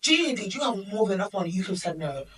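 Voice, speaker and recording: A woman's voice: medium pitch, sing-song. Opinionated woman, slightly distant mic. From Nigerian heritage. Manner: opinionated, emphatic, quick asides. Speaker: podcast co-host. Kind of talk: casual chat.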